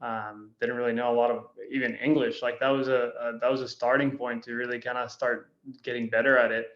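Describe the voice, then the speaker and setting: A young man from Costa Rica, a guest telling his story in a relaxed, conversational way. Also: mid-range voice, brisk, roomy sound, dull audio. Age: young adult. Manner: relaxed, conversational, uses "like" and "right". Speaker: guest, company founder. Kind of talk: casual interview storytelling.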